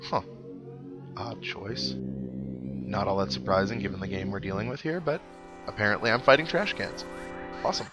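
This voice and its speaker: A man's voice: low voice, expressive, moderate pace, pauses often, loud music behind the voice. A man hosting a video game playthrough, giving casual commentary with wry, sarcastic asides. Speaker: gamer host of a let's play. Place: indoor recording with game music behind.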